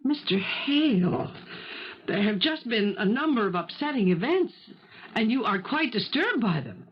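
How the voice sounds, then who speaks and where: A woman's voice: on the high side, sing-song, a little slow, a little hiss or hum. An actress playing a determined, protective woman in a 1970s radio mystery, articulate and insistent in her questioning. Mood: earnest, determined. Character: assertive, determined woman turned protective amateur investigator. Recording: dull vintage radio broadcast recording.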